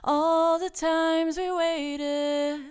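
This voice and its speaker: Woman singing high over acoustic guitar. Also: little pitch movement, very slow, a little mellow. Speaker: singer-songwriter. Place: recording studio. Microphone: studio microphone.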